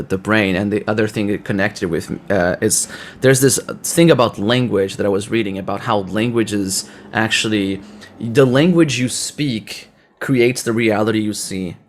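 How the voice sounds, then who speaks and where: A man from Brazil, a native Portuguese speaker with a Brazilian accent, hosting a conversational interview. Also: lowish voice. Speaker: podcast host.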